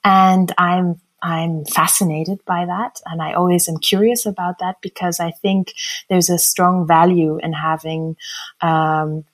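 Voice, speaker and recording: Middle-aged woman, German-American accent, lowish voice, hesitant, thoughtful. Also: fairly even intonation, a little slow. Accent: mixed German and American. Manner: thoughtful, hesitant with many fillers and restarts. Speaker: interview guest. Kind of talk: reflective interview answers.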